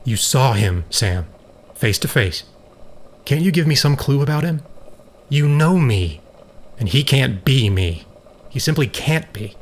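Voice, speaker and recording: A man acting in a science fiction audio drama as a grave, troubled scientist and proud elder brother, speaking in measured, earnest, formal phrases. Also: medium pitch, very animated. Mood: troubled, defensive. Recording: faint background noise.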